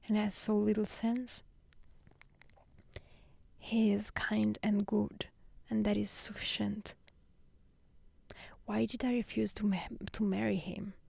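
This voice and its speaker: A woman voicing a gentle, remorseful young fairy-tale heroine in a soft, hushed storybook reading. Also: medium pitch, gravelly, slightly rough, no room sound, full character voice. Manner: soft, hushed, whispery delivery.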